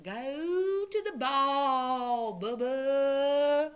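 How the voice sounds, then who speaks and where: A woman voicing a magical talking cow who acts as a fairy godmother in a storybook. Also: high voice. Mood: soothing. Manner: very slow, drawn out.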